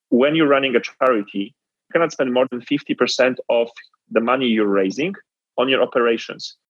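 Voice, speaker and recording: A man's voice: mid-range voice, breathy edge, no room sound, highs cut off. A man from Poland with a Polish accent, telling his story as an interview guest in an earnest, reflective way. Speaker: guest entrepreneur.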